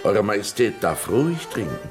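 An actor in a German radio play voicing a worldly, flattering, theatrical middle-aged king. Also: on the low side, moderate pace, music in the background. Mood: suave. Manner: courtly, suave, theatrical. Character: worldly, flattering king nearing fifty, pleasure-loving and theatrical.

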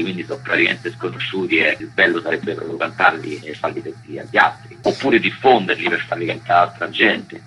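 A man's voice: deep voice, sharp tone, poor audio. A man with a Roman accent giving casual, colloquial, rambling answers as an interviewed musician. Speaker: musician interviewed as band member.

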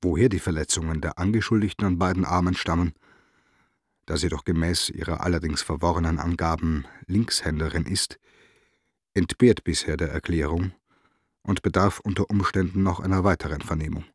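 An impassive police official in a radio play, reading a formal report. The voice is deep and husky, in a very dry studio sound. Delivery is detached and bureaucratic. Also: male voice, steady tone, brisk, no echo. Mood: detached, factual. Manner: matter-of-fact, bureaucratic, detached.

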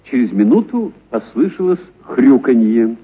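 Husky guy reading a story for radio, dramatic actor style, kinda muffled tinny recording. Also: mid-range voice, big pitch swings, a little slow. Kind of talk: expressive dramatic literary narration.

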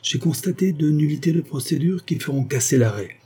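A man's voice: on the high side, animated, fairly quick, dark tone, slightly rough, voice pushed up. A man reading aloud, voicing a devoted young male lawyer who speaks in an earnest, pleading way. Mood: hopeful.

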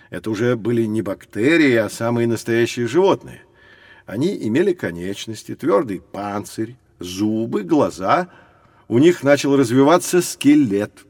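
A man's voice: mid-range voice, no room sound, lightly acted. A man playing a kindly, learned professor who explains things playfully in an educational audio drama. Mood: storytelling wonder. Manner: explanatory, gently playful, measured. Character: kindly, learned male professor guiding children through prehistory.

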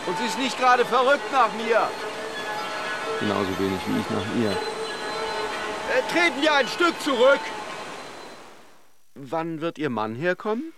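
An actor playing a flirtatious, charming Russian philanderer who teases and muses, in a radio drama. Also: male voice, high-pitched, wildly swooping pitch, measured pace, long pauses, music in the background, exaggerated voice. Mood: excited, calling out. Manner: teasing and joking, musing when alone. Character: playful, flirtatious Russian philanderer, charming but privately reflective. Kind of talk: radio drama acting in intimate dialogue and monologue.

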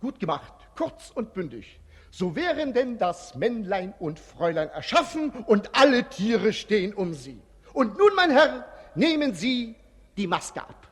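A man's voice: high-pitched, very animated, a little slow, fairly bright tone, exaggerated voice. An actor playing a mischievous, mocking jester who announces with mock-grand showman's patter in a radio play. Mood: triumphant. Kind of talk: 1950s German radio play acting. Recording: reverberant old radio studio hall.

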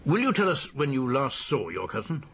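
Very raspy English police detective. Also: male voice, medium pitch, big pitch swings, a bit bright, exaggerated voice. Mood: methodical. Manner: polite, measured, probing. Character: courteous, methodical police detective questioning a witness. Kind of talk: radio drama acting.

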